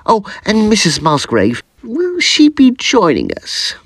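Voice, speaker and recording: Very animated Edwardian gentleman ghost hunter, played by an actor. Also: sits high, dry recording, heavily acted. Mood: keen, businesslike. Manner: formal, brisk, theatrical. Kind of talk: audio drama acting.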